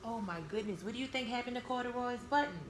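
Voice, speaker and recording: A woman reading a storybook aloud to young children, expressive, pausing to explain and ask simple questions. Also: fairly high pitch. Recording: echoey room with background noise.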